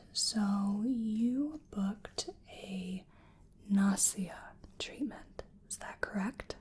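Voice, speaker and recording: An American woman doing a soft-spoken ASMR roleplay as a wellness practitioner, whispering gently and soothingly in a breathy voice. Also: a little high. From US Midwest. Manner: gentle, soothing personal attention, unhurried with pauses. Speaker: ASMR creator playing a wellness treatment practitioner.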